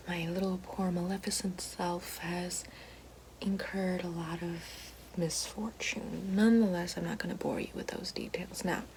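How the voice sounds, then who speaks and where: A woman playing a bitter, sassy fallen evil fairy working a help line, in a breathy whispered ASMR roleplay with slangy sarcasm. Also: a little hiss or hum, natural voice, rough recording. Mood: self-pitying, soothing. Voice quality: breathy whisper mixed with soft low speech.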